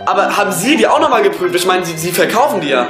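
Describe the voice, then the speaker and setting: Young male prank-show host, high voice, excitable and stammering, echoey room with music behind. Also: fast. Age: teens to young adult. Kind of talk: casual online video presenting and prank calling.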